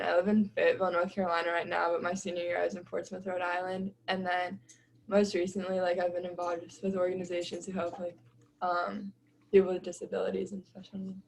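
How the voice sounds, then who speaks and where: A young woman rambles through her introduction at speed, her pitch staying flat. Faint ambience sits behind her on a video call. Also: vocal fry, a little hiss or hum, low-quality recording. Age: young adult. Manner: rapid, rambling with "like". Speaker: incoming college student.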